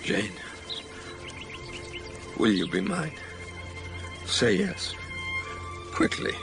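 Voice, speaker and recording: An actor playing a brooding, ardent master of the house in a period radio drama, urgent and commanding. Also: male voice, high voice, huge pitch swings, very slow, gravelly, over music, exaggerated voice. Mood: ardent, desperate.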